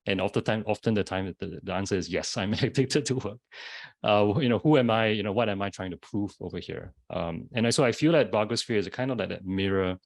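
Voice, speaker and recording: A man's voice: fairly low pitch, fast, creaky. A man with a Singaporean accent, a panelist sharing a reflective personal story with frequent fillers, speaking over a video call. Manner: thoughtful, many fillers and restarts. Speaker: panelist, social entrepreneur.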